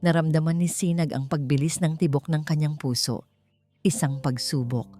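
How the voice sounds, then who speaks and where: Woman, low pitch, scripted dramatic story narration, background music. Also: fairly even intonation, moderate pace, warm tone, no echo. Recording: music behind the voice.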